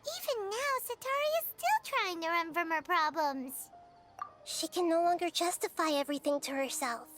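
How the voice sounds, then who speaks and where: A tiny, childlike fairy companion from an anime game. The voice is extremely high and squeaky, bouncing excitably up and down. Faint music plays behind this perky, blunt little sidekick. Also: female voice, normal speed. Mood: urgent. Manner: excitable, refers to self in third person. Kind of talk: anime video game voice acting.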